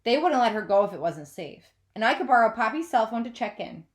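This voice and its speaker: A woman's voice: a little high, big pitch swings, brisk. A woman voicing an eager, exasperated schoolgirl who pleads insistently, in a children's storybook read-aloud. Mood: pleading.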